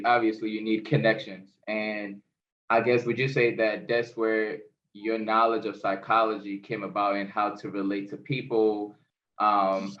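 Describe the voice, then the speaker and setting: A man's voice: on the low side, a bit bright. A man hosting an interview, upbeat and casual, asking follow-up questions with easy fillers. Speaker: interview host. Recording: echoey, dull-sounding room.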